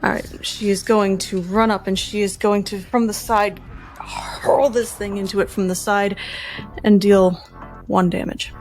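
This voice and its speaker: A woman's voice: mid-range voice, level tone, unhurried, soft background music. A woman playing a tabletop game, describing her character's actions in vivid detail. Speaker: player.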